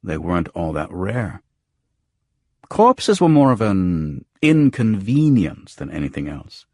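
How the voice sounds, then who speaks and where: A man with a low, husky voice narrates first-person noir fiction as an audiobook. The sound is dry and close, with no room around the voice. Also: big pitch swings, slow pace, darkish tone, no echo.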